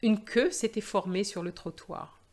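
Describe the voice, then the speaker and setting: A woman with a mid-range voice speaks slowly and deliberately, like a teacher reading a story to learners. Her delivery is expressive, with careful phrasing that is easy to follow. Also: medium pitch. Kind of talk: slow, clear story reading for language learners.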